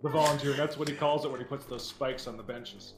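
A man's voice: mid-range voice, fairly even intonation, fast, over loud music. A man co-hosting a podcast in casual, joking banter, with a dry, sarcastic, teasing manner. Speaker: podcast co-host.

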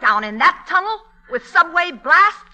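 An actress playing a sharp-witted, exasperated young woman writer in a 1940s radio comedy, with a wry, dramatic delivery. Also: very high-pitched, very animated, a little slow, heavily acted. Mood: indignant.

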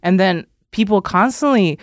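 A woman's voice: mid-range voice, moderate pace, dry recording, clean studio sound. A woman in her thirties talking casually as an interview guest, telling stories by acting out quotes and often saying "like". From Kazakhstan. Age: 30s. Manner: animated storytelling, quotes people in dialogue, frequent "like". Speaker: guest, social media content creator.

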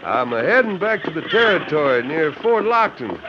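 An actor playing a steadfast, plain-spoken western hero in an old radio drama, with assured cowboy delivery. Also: male voice, on the high side, sing-song, normal speed, noisy background. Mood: folksy, easygoing. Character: steadfast masked western hero, posing as a folksy rancher. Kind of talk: 1940s-50s radio western acting. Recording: muffled vintage radio recording.

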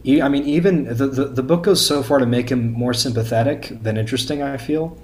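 A man in a casual fan discussion, speaking haltingly as a podcast panelist and often repeating words. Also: medium pitch, darkish tone. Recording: faint background noise.

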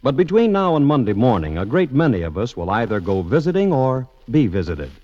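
A man's voice: medium pitch, sing-song, brisk, warm tone. An American man delivering scripted lines as an announcer in the style of 1950s radio. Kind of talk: 1950s radio announcing, scripted sponsor pitch and song introductions. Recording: dull vintage radio recording, faint music.

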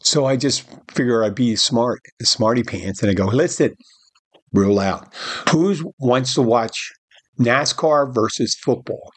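A middle-aged man with a husky, slightly breathy voice tells a long story in a casual podcast monologue. He rambles, restarts his sentences and acts out the quoted dialogue. The recording is dry and close to the mic. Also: medium pitch, unhurried, no echo. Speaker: podcast host and bartender.

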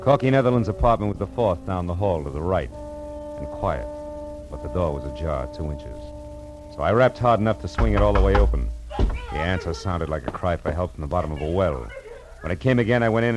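An actor playing a wry, tough private detective, clipped and world-weary, in a 1940s radio drama. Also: male voice, low-pitched, lively intonation, fast talker. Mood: wry, narrating. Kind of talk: 1940s radio drama acting and noir narration. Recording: vintage radio recording with music.

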